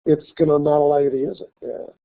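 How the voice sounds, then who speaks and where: A male college instructor giving a classroom lecture with a slight cold, talking haltingly with many fillers and restarts. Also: on the high side, little pitch movement, vocal fry. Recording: tinny phone-like lecture recording.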